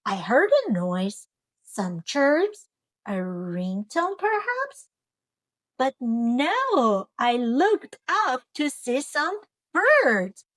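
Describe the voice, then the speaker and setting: A woman voicing an earnest, cheerful couch potato in a storybook, with expressive, drawn-out delivery. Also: sits high, no room sound, pitched above the performer's own voice, full character voice, low-quality recording. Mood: animated.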